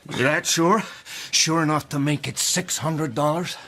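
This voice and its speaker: A man's voice: a little high, a little slow, noisy background, natural voice. An actor playing a scornful frontier betting man with a goading, mocking manner in a radio drama.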